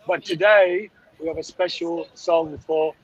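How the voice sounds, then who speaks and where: Man, fairly high voice, a bit hoarse, casual sports fan chat, lo-fi call sound. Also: steady tone, a little slow, crowd noise. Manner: conversational, often tags phrases with "right?". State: slightly hoarse. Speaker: sports fan group founder, guest. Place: on a coach, remote call. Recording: dull lo-fi call audio.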